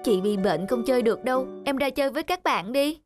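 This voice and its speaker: A woman's voice: sits high, expressive, fast talker. An actress playing a despondent, seriously ill young woman in a cartoon drama, with a plaintive, melancholy manner. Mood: resigned, sad. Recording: music behind the voice.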